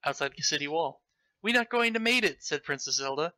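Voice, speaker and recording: A man with a very bright, forceful, fairly high voice reads a story aloud in a theatrical, mocking way. He drops in his own comic commentary, and the sound is close and dry. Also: expressive, a little fast, no echo. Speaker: host reading fan fiction aloud. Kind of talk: comedic dramatic read-aloud with commentary.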